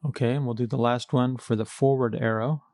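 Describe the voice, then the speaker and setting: Male tutorial instructor, mid-pitched, methodical and plain-spoken, recorded close and dry. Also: fairly even intonation, a little fast, darkish tone, dry recording. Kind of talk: screencast coding walkthrough, explaining step by step.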